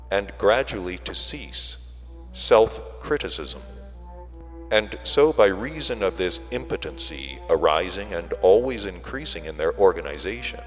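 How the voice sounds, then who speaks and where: Deep male audiobook reader, deliberate w lots of pauses, music behind him. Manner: deliberate phrasing with frequent pauses. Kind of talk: scripted literary narration. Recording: reverberant hall sound with music.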